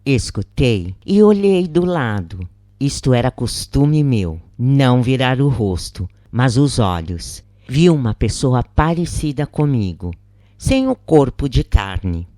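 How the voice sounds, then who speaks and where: Slow, lively-pitched storytelling narrator. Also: no echo, studio quality. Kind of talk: scripted first-person narration of a spirit's story.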